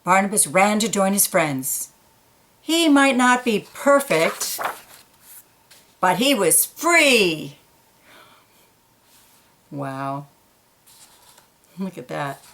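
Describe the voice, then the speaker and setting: A woman reading a children's picture book aloud, pausing for quiet asides about the pictures. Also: medium pitch, big pitch swings, a little hiss or hum. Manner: slow, gentle, pointing out pictures in quiet asides.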